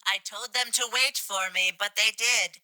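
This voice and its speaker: A woman's voice: medium pitch, steady tone, moderate pace, pushed and bright, dry recording, poor audio. A woman's voice reading scripted game lines in a stilted, literal way. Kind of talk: flat reading of garbled translated game lines.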